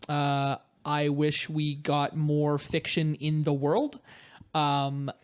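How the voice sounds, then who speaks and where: A man's voice: a little high, flat delivery, takes it slowly, clean tone. A man hosting a podcast in a casual, jokey, slightly self-mocking chat style. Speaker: podcast host.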